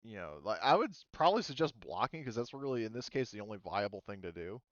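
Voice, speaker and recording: A man's voice: fairly low pitch, sing-song, quick pace, dry recording, highs cut off. A man running a tabletop roleplaying game as game master, casually explaining rules and thinking aloud as he looks things up.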